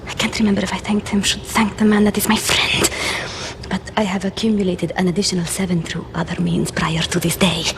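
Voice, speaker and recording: A woman playing an eccentric, fatalistic character in a video game, precise and halting, sometimes dropping into a whisper. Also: mid-range voice, little pitch movement, heavy background noise, lightly acted. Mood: detached, calculating.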